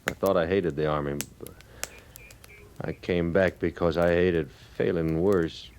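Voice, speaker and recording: Deep voiced humbled sergeant, halting and formal, old radio western, bit noisy. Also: male voice, steady tone, darkish tone. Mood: humble, halting. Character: humbled, earnest male cavalry sergeant, formal and contrite. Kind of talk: 1950s radio western acting. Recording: noisy vintage radio recording.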